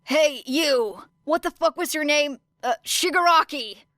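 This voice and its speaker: A woman voicing a sardonic teenage boy who mocks and gives flat commands. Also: high voice, measured pace, pitched above the performer's own voice. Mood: mocking.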